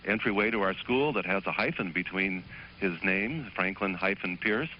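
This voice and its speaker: A man, a teacher calling in to a television program, answering politely and haltingly with many fillers. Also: sits low, a little hiss or hum. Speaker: teacher and call-in guest. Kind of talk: phone interview. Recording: muffled phone line on broadcast.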